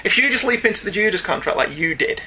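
A man's voice: high-pitched, sing-song, fast, pushed and bright, breathy edge. A chatty, enthusiastic man co-hosting a podcast, talking casually and reading out listener emails. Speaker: podcast co-host. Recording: faint background noise.

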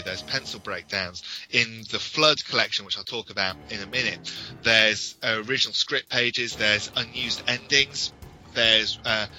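British male podcaster, very bright and crisp-edged delivery, enthusiastic explainer, music underneath. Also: lowish voice, low-quality recording. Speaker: podcast co-host. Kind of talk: enthusiastic informal podcast commentary.

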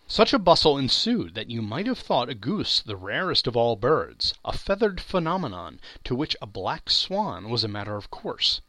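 A man gives a dramatic audiobook reading in a medium-pitched, forceful voice. His delivery is theatrical and very animated, leaning hard on key phrases. The sound is dry, with no room around it. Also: no room sound. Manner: theatrical, emphatic, expressive. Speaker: podcast host and narrator. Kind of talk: dramatic literary audiobook narration.

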